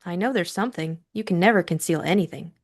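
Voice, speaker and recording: Woman acting a brisk, headstrong young lady. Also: on the low side, close to an everyday voice. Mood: teasing, impatient. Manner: adamant, probing. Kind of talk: audiobook character acting.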